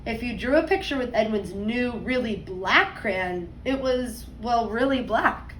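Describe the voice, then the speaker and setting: A woman teacher reading a picture book aloud to young children, expressively and deliberately, stressing words and adding conversational asides. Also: on the high side, fairly bright tone. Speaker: second grade teacher. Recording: roomy echo, distant mic, faint noise.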